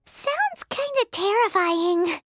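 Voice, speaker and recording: A woman voicing a small, excitable, childlike fairy companion with a squeaky voice in a video game. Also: takes it slowly, dry recording, clean studio sound, heavily acted. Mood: wary. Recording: music behind the voice. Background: game music.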